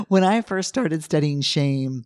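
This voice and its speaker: A hoarse middle-aged woman, hosting a podcast and telling stories candidly, acting out what people say. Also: deep voice, slow, dry recording. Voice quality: husky. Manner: candid, animated storytelling with acted-out quotes. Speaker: podcast host. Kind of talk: casual solo talk.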